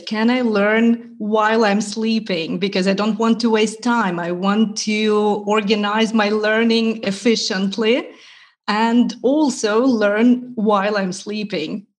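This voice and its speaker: A woman from Ukraine whose first languages are Russian and Ukrainian, a language teacher speaking as a podcast guest in a measured, explanatory way with frequent pauses. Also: mid-range voice, steady tone. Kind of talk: podcast interview.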